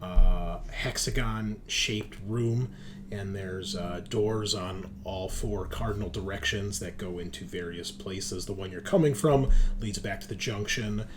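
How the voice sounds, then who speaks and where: A man working as game master, narrating a tabletop roleplaying game in a halting, hesitant way. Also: sits low. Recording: clearly noisy background.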